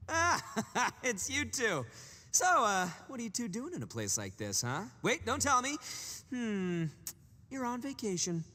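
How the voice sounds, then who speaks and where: A man's voice: extremely high pitch, wildly swooping pitch, measured pace, bright, forceful tone, full character voice. A boisterous young gang boss from an anime game. His delivery leaps around with boastful, chummy swagger, inside a long reverb. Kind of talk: anime-style video game dialogue.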